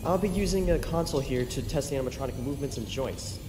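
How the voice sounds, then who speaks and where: A man's voice: medium pitch, fast, music in the background, lightly acted. A man playing a robotics technician in an analog horror video, talking casually through his maintenance steps. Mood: businesslike. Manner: casual, procedural, talking through each step. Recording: echoey, very noisy room recording.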